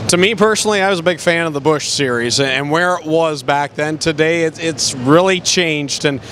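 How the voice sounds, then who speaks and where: A man interviewing a guest on a handheld mic at an indoor expo, asking measured, friendly questions. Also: on the high side, fairly bright tone, slight background noise. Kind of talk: casual interview. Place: busy indoor expo hall.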